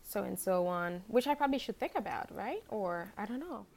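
A young woman from Haiti talks as a podcast guest in a gravelly, creaky voice. She speaks loosely and hesitantly, with plenty of fillers. Faint background noise sits under her voice. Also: mid-range voice, brisk. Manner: relaxed, hesitant, many fillers. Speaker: podcast guest, fashion blogger. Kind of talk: casual interview chat.

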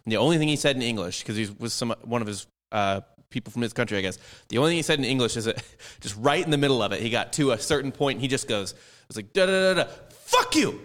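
A man's voice: medium pitch, expressive, fast talker, a bit bright. A man co-hosting a sports podcast in casual, rambling conversation with frequent swearing. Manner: rambling, profane.

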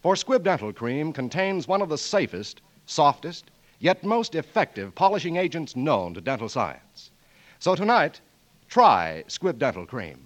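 A man announcing in a 1940s radio style, reading scripted sponsor copy with polished, emphatic delivery. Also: fairly high pitch.

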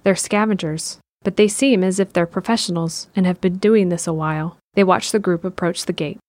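A woman's voice: on the low side, normal speed, darkish tone. A woman reading scripted narration for an audio drama.

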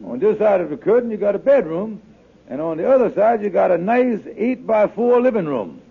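A slippery, fast-excusing schemer from an old radio comedy, with a high, creaky voice. He hems and haws in folksy dialect as he talks up a bad deal. The recording is narrow, noisy and clicky. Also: big pitch swings, heavily acted. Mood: smooth-talking. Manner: folksy dialect, hemming and hawing salesmanship. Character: blustering, slippery con man passing off a shack. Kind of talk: 1950s radio sitcom acting.

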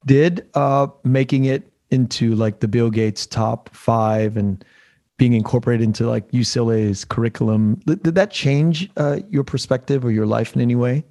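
A man's voice: medium pitch, steady tone, moderate pace, warm tone, dry recording, clean studio sound. A man hosting an interview, asking long, rambling questions in a casual tone. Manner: rambling, loosely strung questions. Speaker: podcast host.

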